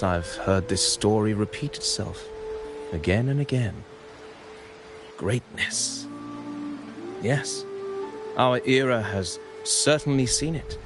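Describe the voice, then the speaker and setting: Slow, low-voiced poetic male storyteller. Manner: measured, deliberate, philosophical and poetic delivery. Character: composed male poet-storyteller, musing and eloquent, telling an epic. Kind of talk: theatrical scripted video game narration.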